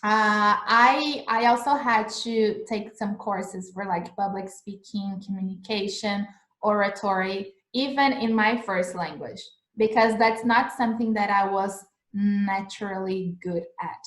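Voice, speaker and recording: A young Brazilian woman whose first language is Portuguese, giving a relaxed English lesson over a phone livestream. She talks in a chatty way, checking in with "right?" and "like", at a middle pitch. Her voice carries a roomy echo. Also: unhurried. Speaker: English teacher.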